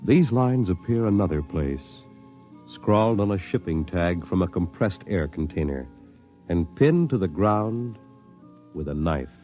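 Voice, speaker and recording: A man narrating a 1950s radio drama in a measured, literary style. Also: low voice, animated, warm tone. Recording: muffled 1950s radio recording. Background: music.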